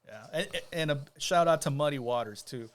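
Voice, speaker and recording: A man's voice: on the high side, normal speed. A man talking casually as a guest on a podcast, easygoing and rambling, with plenty of fillers and restarts. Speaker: guest, judicial candidate.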